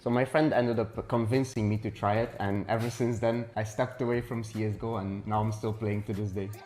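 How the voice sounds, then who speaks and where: A man in his twenties, an esports player answering interview questions in a relaxed, matter-of-fact way. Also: fairly low pitch. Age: 20s.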